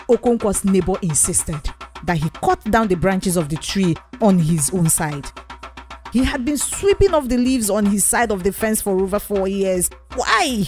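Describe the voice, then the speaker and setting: Animated woman storyteller. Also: mid-range voice. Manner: dramatic, drawn-out emphasis. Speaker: storyteller, channel narrator. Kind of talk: expressive children's folktale storytelling.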